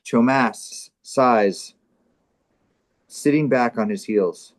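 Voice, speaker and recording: Slow-paced man reading stage directions. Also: mid-range voice. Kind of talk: scripted narration at a live comedy reading.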